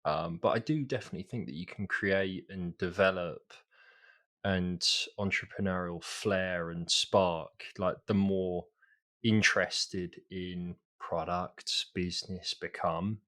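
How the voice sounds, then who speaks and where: Male podcast host, very low voice, friendly, affirming. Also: fairly even intonation, slow, fairly bright tone. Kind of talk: casual podcast interviewing.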